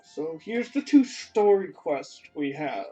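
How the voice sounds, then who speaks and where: Expressive mellow-voiced woman streamer. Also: low voice, slow pace, soft background music, low-quality recording. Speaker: game streamer. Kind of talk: casual livestream chat.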